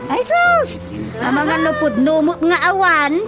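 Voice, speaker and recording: A woman playing a gossipy, excitable villager in a radio comedy drama, exclamatory, with a Filipino accent. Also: very high voice, slow pace, exaggerated voice. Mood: scornful. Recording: music bed under the voice.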